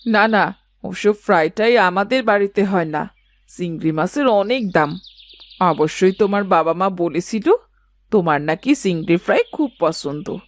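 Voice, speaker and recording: A woman's voice: mid-range voice, expressive, unhurried, close to an everyday voice. A woman voicing a thrifty, bossy older mother-in-law in a village cartoon, giving matronly instructions. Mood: dismissive, thrifty. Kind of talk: cartoon story voice acting.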